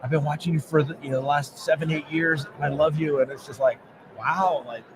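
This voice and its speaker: A man's voice: a little high, fairly even intonation, brisk, noisy background. A man who is a lawyer and show host, chatting casually and earnestly at a convention booth, often restarting his sentences. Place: busy convention floor.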